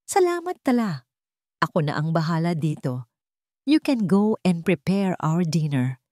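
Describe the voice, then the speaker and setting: A woman's voice: fairly low pitch, big pitch swings, a little slow, mellow, dry recording, clean studio sound. A woman voicing a sweet-talking, coolly confident rich fiancée in an audio story, poised and sugary.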